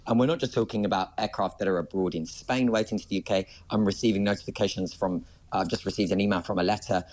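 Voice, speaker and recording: A man's voice: fairly low pitch, steady tone, fast, a little mellow, slight background noise. A man giving live breaking news analysis as an aviation analyst, explaining step by step with hesitant phrasing and stressed key words.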